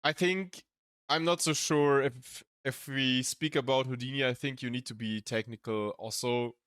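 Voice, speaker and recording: German-accented man, smooth dry voice. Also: mid-range voice, fairly bright tone, no room sound. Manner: hesitant, searching for English words, chuckling. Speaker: streamer reacting to a video. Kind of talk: casual live-stream chat.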